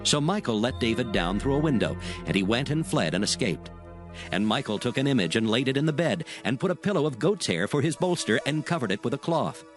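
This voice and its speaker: A man's voice: medium pitch, expressive, a little fast, music in the background. A man narrating a dramatized scripture reading in solemn, formal archaic phrasing.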